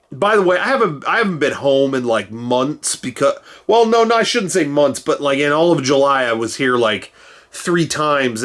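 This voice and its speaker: A man in his thirties chats casually at home, rambling from one joke to the next while he gets over a cold. His pitch jumps suddenly and often, though his voice sits at a middle height. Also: a bit bright, a little hiss or hum. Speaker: host. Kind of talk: casual chat. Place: cluttered room at home.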